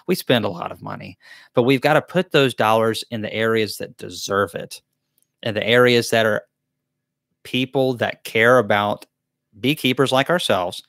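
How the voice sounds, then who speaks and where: A man's voice: on the low side, fairly bright tone. A man in his thirties with a drawl talks into a close desk mic in a small wood-paneled room. He rambles chattily and restarts his sentences often. The sound is dry, with no room echo. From Tennessee, US. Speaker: beekeeping channel host. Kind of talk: live Q&A chat. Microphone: desk mic close to camera.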